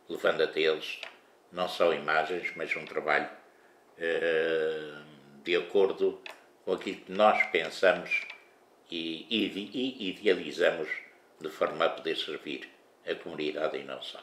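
A man's voice: extremely low pitch, fairly bright tone. A man in his sixties hosting a community TV show, speaking formally and measuredly with hesitations at a table in a living room. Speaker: community TV host. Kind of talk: formal talk to camera. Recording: echoey room.

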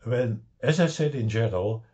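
An older man with a Dutch accent giving a slow, deliberate slideshow lecture on his own paper, with wry asides, recorded on a webcam at home. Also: medium pitch, lively intonation, warm tone.

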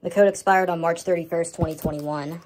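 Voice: medium pitch, fairly even intonation, fairly quick, background noise. An American speaker talking casually to a handheld camera indoors at home, showing items in a vlog update. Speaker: host of a home media collection update. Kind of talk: casual show-and-tell vlog.

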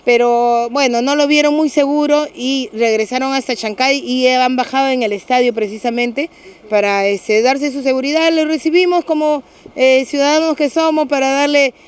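Measured Peruvian woman giving an official statement. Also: high voice, steady tone, clean tone. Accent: Peruvian Spanish. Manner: measured, official, with many fillers and restarts. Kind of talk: street interview statement. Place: outdoors on a street. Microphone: several handheld press mics.

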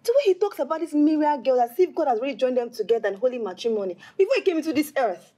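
A woman's voice: high-pitched, sing-song, very fast, mellow. A woman playing a worried, caring young friend in a comedy skit, exclaiming and pleading with a Nigerian accent. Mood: shocked.

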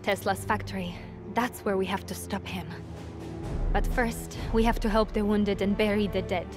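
An actress playing an earnest, principled young resistance heroine in a video game, speaking with intensity. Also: female voice, mid-range voice, fairly even intonation, normal speed, music in the background, natural voice. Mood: grave, resolute. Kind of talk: video game cutscene acting.

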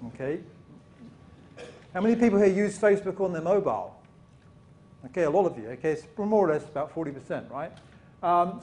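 A man's voice: high voice, dark tone. A man giving a keynote talk in a conference hall, loose and full of fillers, asking the audience questions. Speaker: keynote speaker.

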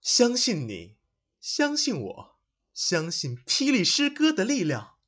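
一位男演员在广播喜剧中饰演夸张做作、慷慨激昂的年轻诗人老师。. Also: male voice, sits high, wildly swooping pitch, slow pace, heavily acted. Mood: earnest, grand. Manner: declamatory, swings into shouting recitation. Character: theatrical, overwrought poet teacher, melodramatic young man. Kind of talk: Chinese audio comedy drama acting.

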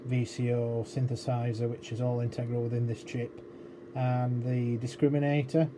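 Flat-toned man explaining, noisy recording. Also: mid-range voice. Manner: explanatory, hesitant, thinking aloud. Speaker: electronics repair demonstrator. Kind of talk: informal technical walkthrough. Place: indoor electronics workbench. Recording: clearly noisy workshop room recording.

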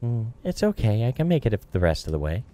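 A man's voice: on the low side, sing-song, fairly quick, mellow, voice pushed up. A man voicing an earnest, worried young witch girl in a video game. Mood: resolute. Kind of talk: voiced game dialogue. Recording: music behind.

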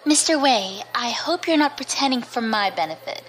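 A woman's voice: a little high, lively intonation, moderate pace, a bit bright, a little hiss or hum, rough recording. An actress playing a poised, dangerous young woman in an audio drama, polite, assured and pointed. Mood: coolly challenging. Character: poised, deadly young daughter of a feared father.

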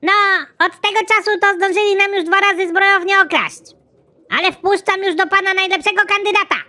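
A man voicing a prim, matter-of-fact female office secretary in an animated comedy. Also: extremely high pitch, brisk, pitched above the performer's own voice, heavily acted. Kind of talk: animated comedy series dialogue.

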